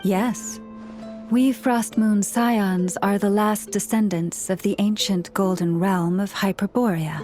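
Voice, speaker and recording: A woman acts a gentle priestess of a moon goddess in a fantasy game. She speaks very slowly and formally, solemn and modest, with faint music behind her. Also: medium pitch, natural voice. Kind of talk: video game storytelling dialogue.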